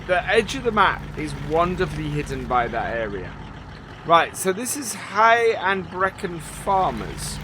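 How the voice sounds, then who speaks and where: A man's voice: a little high, bright, forceful tone, slight background noise. A man casually commentating a farming game as he explores it, upbeat and full of ums and uhs. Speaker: gaming channel host.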